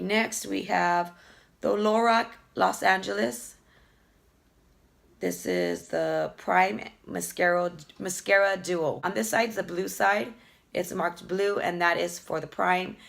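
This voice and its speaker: A woman beauty vlogger chatting casually through an unboxing, hoarse from a lingering cough, rambling fondly about each item. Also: on the low side, fairly even intonation, unhurried, a bit bright. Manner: chatty, fond, rambling product talk with fillers. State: hoarse, losing her voice from a cough.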